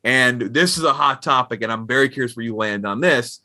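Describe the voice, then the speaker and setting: A man's voice: mid-range voice, brisk, sharp tone. A man hosting a casual podcast interview, chatty, asking long questions that restart and circle around.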